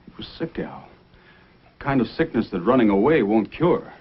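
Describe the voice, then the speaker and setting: A radio actor playing a stern but compassionate Mountie sergeant, speaking in a measured, deliberate, grave way in an old-time drama style. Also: male voice, mid-range voice, animated, slightly rough. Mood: grave, consoling. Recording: dull old radio recording.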